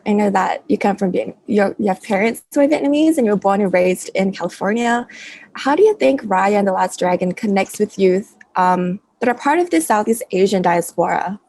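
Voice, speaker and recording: A woman's voice: mid-range voice, expressive, brisk, darkish tone, no room sound. A woman with a Singaporean English accent, interviewing a guest over a video call in a friendly, upbeat way. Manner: friendly, upbeat, laughs often.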